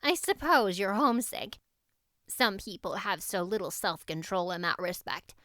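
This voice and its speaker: A woman's voice: medium pitch, expressive, normal speed, a bit bright, no room sound, clean studio sound, close to an everyday voice. A woman voicing a spiteful, boastful teenage schoolgirl who gushes in slang and offers false pity, in an audiobook story. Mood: aggravating pity, boastful. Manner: gushing, slangy, falsely pitying.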